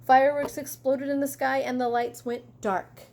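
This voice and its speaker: A woman reading a children's story aloud expressively, in an animated way, pausing for asides to young listeners. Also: high-pitched, vocal fry. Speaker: teacher reading a picture book aloud.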